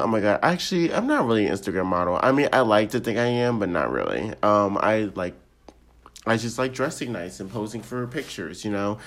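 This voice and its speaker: A man's voice: fairly low pitch, fairly bright tone. A nineteen-year-old man hosting a casual solo podcast intro, rambling and self-deprecating with lots of ums and little laughs, his voice a bit off from being sick. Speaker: podcast host.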